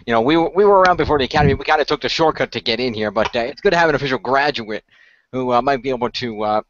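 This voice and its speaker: A man's voice: medium pitch, very fast, fairly bright tone, close to an everyday voice. A man playing an earnest, diplomatic ghost-hunter spokesman in an improvised role-play, often restarting his phrases. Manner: hesitant, repeats himself, chuckles. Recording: slightly dull, faintly noisy voice chat.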